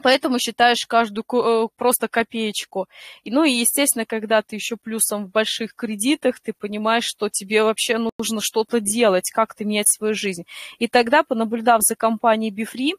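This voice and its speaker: A hoarse woman in her thirties, earnestly telling her own story in an online voice chat, with many fillers and restarts. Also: a little high, fairly even intonation, normal speed, no echo. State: hoarse today. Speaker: network-marketing member giving a testimonial. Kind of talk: emotional personal testimony in an online voice chat.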